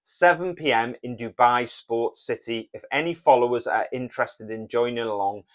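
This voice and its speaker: A Welsh man co-hosting a casual podcast, talking in a relaxed, plain way and reading out listener messages. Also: medium pitch, normal speed. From Wales. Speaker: podcast co-host.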